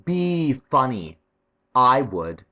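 Narrator doing a huffy, expressive British schoolboy. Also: mid-range voice, drawn-out words, voice pushed up, full character voice. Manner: thoughtful, mildly huffy. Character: pensive, slightly grumpy English schoolboy, eldest brother.

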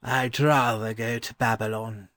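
A man voicing a weary, courteous, scholarly gentleman in a storybook reading, mild and wistful. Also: medium pitch, flat delivery, measured pace, dry recording, studio quality, pitched above the performer's own voice.

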